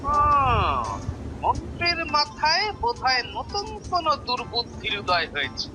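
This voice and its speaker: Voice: high voice, wildly swooping pitch, unhurried, noisy background, exaggerated voice. An actor with a Bengali accent playing a clever, suspicious male court jester in a cartoon, musing and exclaiming. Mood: scheming.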